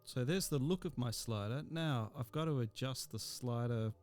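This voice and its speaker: A man giving an informal software tutorial as a teacher, explaining each step as he goes. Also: mid-range voice, moderate pace, faint music behind.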